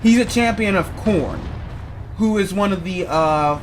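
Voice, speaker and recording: A man's voice: high voice, unhurried, slight background noise. A man from Louisiana, giving casual, rambling let's play commentary. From Louisiana, United States. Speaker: gaming video host. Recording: game music clearly behind. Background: game music.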